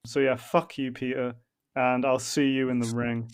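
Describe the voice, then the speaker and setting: A man's voice: mid-range voice, little pitch movement, normal speed, clean tone. A man hosting a podcast in casual chat, ranting comically in a sweary, exasperated way. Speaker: podcast host.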